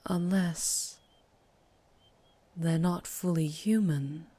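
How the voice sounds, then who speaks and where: A woman plays a mysterious vampire biker in an ASMR roleplay. Her voice sits on the low side and she takes her time with each phrase. She is teasing and menacing by turns. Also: fairly even intonation, drawn-out words, warm tone. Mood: teasing, intrigued.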